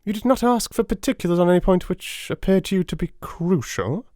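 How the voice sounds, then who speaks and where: A man voicing a brilliant gentleman detective in a dramatised audiobook, with a probing, smoothly polite and amused manner. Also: high-pitched, brisk, dry recording, clean studio sound, pitched above the performer's own voice, natural voice. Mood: probing, incredulous. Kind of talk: dramatic audiobook character acting.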